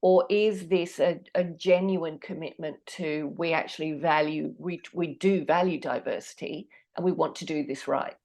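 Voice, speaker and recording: A woman's voice: low voice, steady tone, normal speed, few pauses, a little mellow. A woman hosting a podcast, asking curious, encouraging questions. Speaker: podcast host. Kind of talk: interviewing.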